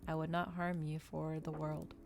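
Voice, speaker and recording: A woman voicing a solemn, eloquent male vampire in a measured, formal storybook reading. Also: sits low, little pitch movement, creaky, noisy background. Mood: grave, commanding.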